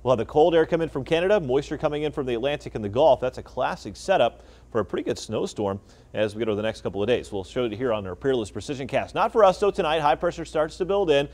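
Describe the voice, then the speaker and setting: A man's voice: mid-range voice, lively intonation, fast, darkish tone. An American man presenting a weather forecast on television in a live broadcast style.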